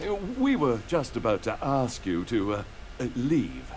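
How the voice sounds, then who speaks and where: A man's voice: medium pitch, huge pitch swings, a little slow, a little mellow, a little hiss or hum. An actor playing an awkward, timid middle-aged father in a video game, stammering and hesitant. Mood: awkward, embarrassed.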